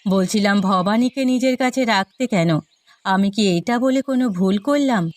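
A woman's voice: medium pitch, normal speed, no echo, natural voice. A woman voicing an anguished, tender village mother with pleading, emotional delivery in a melodramatic moral-story cartoon. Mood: reproachful, pleading.

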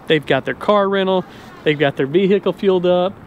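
A man's voice: sits high, a little fast, narrow, tinny audio, a little hiss or hum. A middle-aged American man chatting casually and informally to camera as a lawn care vlogger, outdoors on a handheld camera. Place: outdoors in an open paved lot. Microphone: handheld camera at arm's length.

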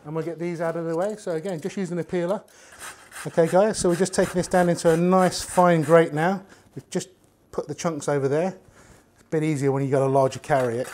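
A man's voice: on the high side, a little hiss or hum. A man, chatting casually to camera as he cooks in a home kitchen. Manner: chatty, relaxed, talks while working with his hands. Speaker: cooking show host. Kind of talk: casual cooking demonstration to camera.

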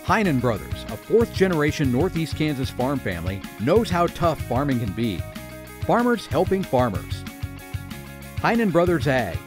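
A man reading a farm supply advertisement with a salesy delivery. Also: on the high side. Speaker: ad announcer. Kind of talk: radio ad read.

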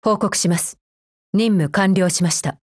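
A woman's voice: medium pitch, unhurried, darkish tone, no room sound, clean studio sound, natural voice. A woman playing a cold, efficiency-minded female combat android in a mobile game, speaking formally in a clipped, stern, unemotional way. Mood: businesslike, composed. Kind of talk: scripted mobile game character voice lines.

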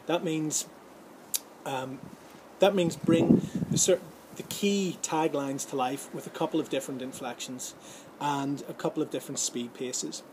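A middle-aged man giving informal advice to camera in a halting, conversational way, recorded outdoors. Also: fairly high pitch, darkish tone, poor audio. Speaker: host giving voice-over advice. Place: outdoors in a sunny garden or patio. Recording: outdoor ambient background noise.

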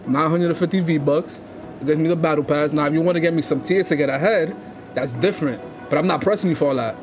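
A man's voice: fairly high pitch, quick pace, music in the background. A young man on a headset making prank phone calls from home, pushy and demanding, with lots of street slang. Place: home streaming room, indoors.

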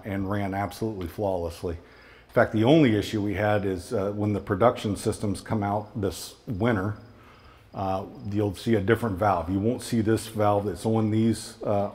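A man's voice: lowish voice, a little mellow. A man giving an informal technical product presentation in a conversational, explanatory way, often checking in with his listeners.

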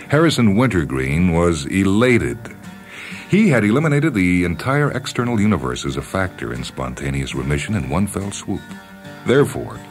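A man reading a short story as scripted radio narration, deliberate and wry, with theatrical phrasing and dramatic pauses. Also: deep voice. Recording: music bed under narration. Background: background music with guitar.

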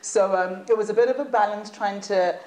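A woman, a guest in a studio interview, telling stories in an animated, chatty way and laughing at times. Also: mid-range voice, brisk, rough recording. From United Kingdom. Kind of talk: casual interview chat.

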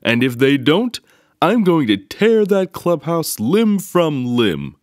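A man voicing a hearty, excitable father bear in a storybook, with an emphatic, booming delivery. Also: slow pace, no room sound, clean studio sound. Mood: indignant, roaring. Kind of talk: storybook read-aloud character voice.

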